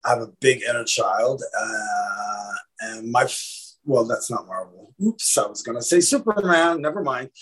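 A man from Lebanon, an actor guest, talking in a casual, animated way, often repeating his words. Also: medium pitch, unhurried, husky, low-quality recording. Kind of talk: casual interview chat.

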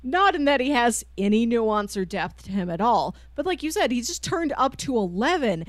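A woman's voice: fairly high pitch, sing-song, moderate pace, no echo. A woman co-hosting a podcast, chatting casually and emphatically about a book. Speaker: podcast co-host. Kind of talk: casual book discussion chat.

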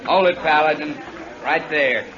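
A man's voice: fairly high pitch, unhurried, background noise, natural voice. An actor playing an emotional, blunt frontier father in a 1950s radio western. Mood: angry. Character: gruff, hot-tempered frontier father who softens. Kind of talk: 1950s radio drama acting. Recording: muffled vintage radio recording, crowd murmur.

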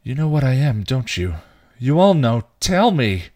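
A man plays a defiant, distraught teenage girl in a story reading. The voice is pushed high and loud, insistent and desperate for answers. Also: very animated, unhurried. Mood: frantic, demanding.

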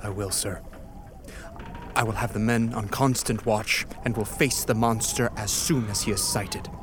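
A resolute ship captain in a radio drama, played by a man, dutiful and wary. The voice is on the high side and swings widely in pitch as it gives commands, over noisy background ambience. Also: animated, a little slow. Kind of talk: radio play acting.

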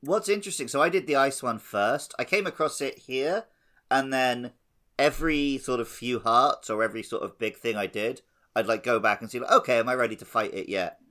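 A man's voice: medium pitch, a little fast, pushed and bright. A man recounting his video game experiences casually and with animation as a podcast host.

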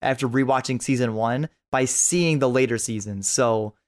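A man's voice: medium pitch, normal speed. Guy on a podcast chatting about anime, really into it, repeats himself a lot, normal mid voice. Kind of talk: casual chat about an anime.

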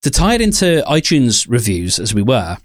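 A man's voice: medium pitch, very animated, moderate pace, no room sound, studio quality. A man co-hosting a podcast, casually discussing and explaining his opinions. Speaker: podcast co-host.

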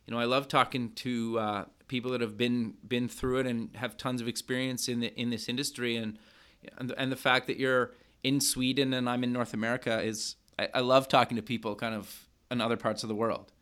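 A man's voice: mid-range voice, level tone, a little fast, fairly bright tone, clear, resonant tone. A man hosting an interview podcast, chatty and upbeat, with many fillers and restarts. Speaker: podcast host.

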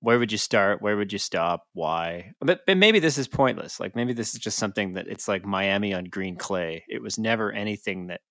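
A man's voice: lowish voice, expressive, moderate pace, few pauses, a bit bright, dry recording, studio quality. A man co-hosting a podcast in casual sports discussion, talking in a conversational, argumentative way. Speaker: podcast co-host.

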